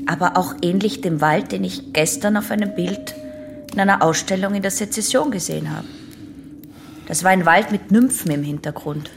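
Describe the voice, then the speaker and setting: A woman's voice: lowish voice, moderate pace, creaky, noisy background. An actress playing a guarded, resisting young female patient in a German radio play, answering in a terse, defensive way. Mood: defiant, guarded. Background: music.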